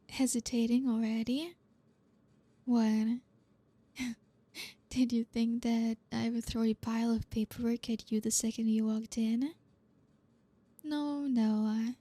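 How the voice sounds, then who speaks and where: A woman's voice: on the high side, mellow. A woman playing a flirty, teasing, dominant boss in an ASMR roleplay, speaking breathily and often slipping into a whisper.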